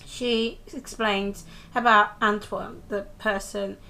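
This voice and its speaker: A woman's voice: mid-range voice, fairly even intonation, takes it slowly, gravelly, sharp tone, low-quality recording. A young British woman doing a casual, rambling review of a TV episode, talking into a handheld camera held close to her face, with lots of ums and restarts. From United Kingdom.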